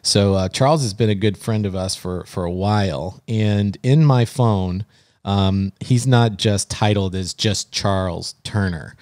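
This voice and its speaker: Male podcast co-host, casual chat, lowish register, dry studio-like sound. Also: unhurried, darkish tone, no echo.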